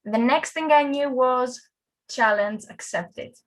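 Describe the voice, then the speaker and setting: A woman's voice: high-pitched, slow. A young woman from Greece, a front-end developer, presents a conference talk in a friendly, conversational way with fillers and restarts.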